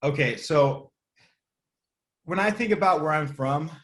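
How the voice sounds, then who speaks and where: A man's voice: on the high side, measured pace, a bit bright. A man from California answering as an interview guest, casual and reflective, often searching for words. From Monterey area, California.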